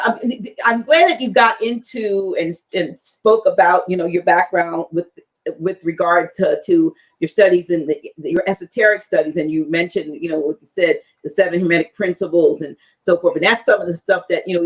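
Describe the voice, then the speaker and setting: A woman hosting an interview talk show, speaking haltingly and repeating words as she builds her point. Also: lowish voice, slightly rough, poor audio.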